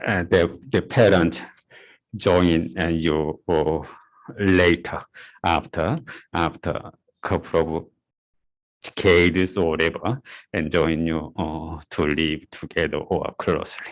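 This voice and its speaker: A middle-aged man from South Korea with a Korean accent, a native Korean speaker giving a conference lecture in a halting way, often repeating words and restarting. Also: low voice, steady tone, poor audio.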